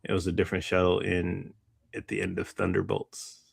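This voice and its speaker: A man with a fairly low voice chats casually as a podcast co-host. He rambles a little and keeps circling back to rework what he is saying. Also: monotone, moderate pace, vocal fry, breathy edge. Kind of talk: casual chat.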